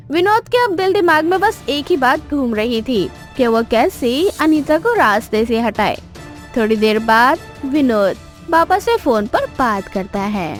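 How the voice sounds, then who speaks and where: A woman narrating a scripted dramatic moral story as its storyteller. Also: high voice.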